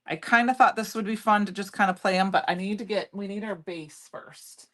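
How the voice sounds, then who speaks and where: A middle-aged woman with a loud voice, hosting a live crafting demo and chatting loosely with viewers as she works. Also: medium pitch, a little fast. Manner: chatty, rambling, answers viewer comments. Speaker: livestream craft host.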